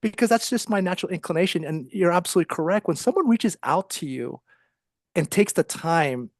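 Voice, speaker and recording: Middle-aged American man with a creaky voice. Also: high voice, animated, a little fast, no echo, clean studio sound. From Ohio, United States. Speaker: interview guest, psychologist and author. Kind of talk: conversational interview.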